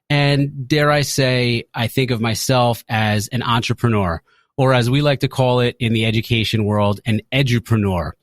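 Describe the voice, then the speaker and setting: A man of average pitch shares a personal story in an earnest way. He explains things in long, linked sentences like a teacher, and the sound is very dry with no room on it. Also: dry recording. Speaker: educator and podcaster. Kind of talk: personal story sent as a voice message.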